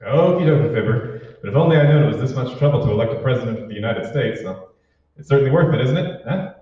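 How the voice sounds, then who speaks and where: A man's voice: mid-range voice, lively intonation, fast talker, slight background noise, close to an everyday voice. A man playing a polite, long-winded immigrant voter in an old-time radio comedy. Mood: polite, comic. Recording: muffled, echoey hall, far from mic.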